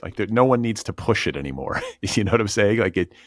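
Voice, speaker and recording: A man's voice: on the low side, expressive, fast, dry recording, clean studio sound. A man hosting a podcast in a casual interview style, musing informally and circling around his point. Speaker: podcast host.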